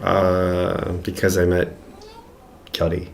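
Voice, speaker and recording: A man's voice: sits low, completely flat delivery, slow pace, vocal fry, a little hiss or hum. A man from the United States hosting a casual livestream chat, blunt and teasing with his guest. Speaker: channel host.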